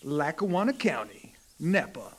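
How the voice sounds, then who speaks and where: A man's voice: fairly high pitch, big pitch swings, unhurried, a bit bright, husky, heavily acted. An actor playing a crude, mouthy teenage boy who is vulgar and sarcastic. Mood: mocking. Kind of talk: audio drama acting.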